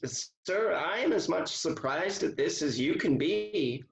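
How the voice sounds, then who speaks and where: An actor playing a courteous, loyal English gentleman in a classical stage comedy, speaking in a measured, earnest way, recorded with roomy, slightly muffled sound. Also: fairly high pitch, lively intonation, creaky, heavily acted. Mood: earnest polite. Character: courteous, loyal English gentleman defending his roving friends.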